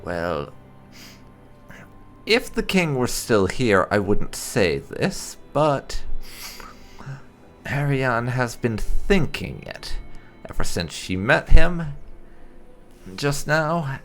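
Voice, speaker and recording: A man's voice: mid-range voice, very animated, drawn-out words, a bit bright, soft background music. A man hosting a roleplay playthrough of a game, commenting in a wry, opinionated way. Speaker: gaming channel host.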